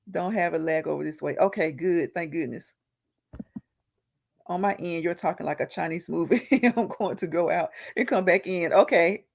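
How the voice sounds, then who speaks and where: Gravelly-voiced woman hosting a live chat. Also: lowish voice, darkish tone. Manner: laughs often, greets viewers by name. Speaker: livestream host reading chat.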